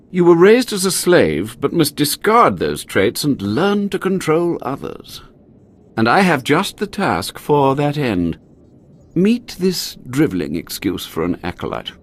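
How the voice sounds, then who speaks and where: An actor playing a haughty, scheming Sith inquisitor in a video game, theatrical and condescending, drawing out his words. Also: mid-range voice. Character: haughty, scheming male Sith inquisitor, self-preserving overseer of trials.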